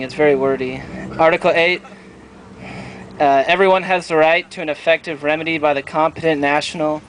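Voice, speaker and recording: A man's voice: a little high, fairly bright tone, poor audio. A man reading formal articles aloud at an outdoor event, paraphrasing casually as he goes. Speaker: reader at a public event. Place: outdoor town plaza. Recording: outdoor event with street traffic noise.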